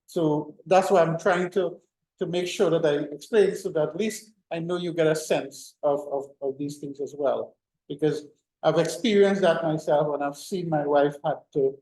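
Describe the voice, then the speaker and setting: A man's voice: on the high side, steady tone, moderate pace, dark tone, roomy sound, low-quality recording. A middle-aged man giving an informal classroom lecture, full of fillers and restarts. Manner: rambling, many fillers and restarts. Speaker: university lecturer.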